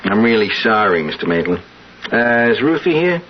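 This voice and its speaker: An actor playing an eager, insistent working-class man in love and newly rich, with halting, pleading street talk in a 1970s radio drama. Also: on the low side, very animated, a little hiss or hum. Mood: respectful, awkward. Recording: muffled old radio broadcast with music.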